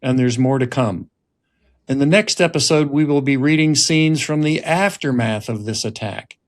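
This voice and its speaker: A man speaking as a writer-director and host, deliberate and pausing as he narrates or explains. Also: medium pitch. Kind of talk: scripted narration and reflective talk.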